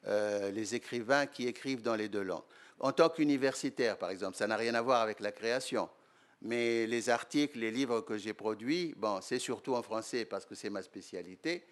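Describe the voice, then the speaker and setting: Measured Tunisian man, smooth voice. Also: medium pitch. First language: Arabic. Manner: measured, hesitant with many fillers. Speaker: guest professor and writer. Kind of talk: conference interview answers. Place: lecture room.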